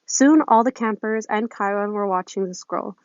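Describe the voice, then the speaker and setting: A woman's voice: medium pitch, moderate pace. A woman reading fan fiction aloud in an informal, amateur way, recorded on a phone. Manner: informal, giggly when flubbing lines. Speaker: amateur podfic reader. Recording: muffled, roomy echo, phone recording.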